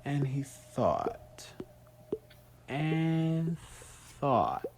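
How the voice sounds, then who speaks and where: A woman pre-K teacher giving an expressive read-aloud lesson, drawing out key words and putting guiding questions to young listeners. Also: low voice, background noise. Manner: drawn-out emphasis on key words.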